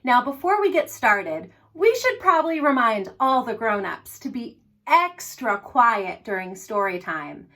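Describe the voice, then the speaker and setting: A woman hosting story time for young children, speaking in an animated, encouraging way straight to her little listeners. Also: fairly high pitch, a little slow. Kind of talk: reading and singing aloud to young children.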